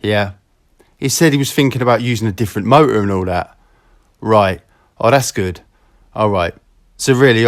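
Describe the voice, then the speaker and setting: A man with a southeast England accent chatting casually in slangy, sweary language on a recorded telephone call. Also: lowish voice. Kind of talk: casual phone chat.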